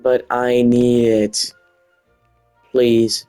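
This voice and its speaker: A man with a Dutch accent talks through a gaming video at a slow pace. His commentary is casual and informal, built on short emphatic phrases, with faint music underneath. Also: mid-range voice, little pitch movement, dark tone, smooth. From Netherlands.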